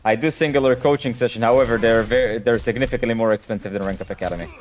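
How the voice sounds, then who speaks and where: A man's voice: mid-range voice, rapid-fire. A man, a native Hebrew speaker, chatting casually as a game coach on a live stream and answering questions in a direct way.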